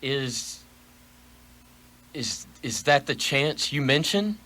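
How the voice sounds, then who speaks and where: A man's voice: mid-range voice, lively intonation, slow pace, sharp tone. A man playing a frightened, pleading miser in a radio play, theatrical and prone to stammering. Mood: timid, reluctant. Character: frightened, pleading miserly businessman facing a ghost.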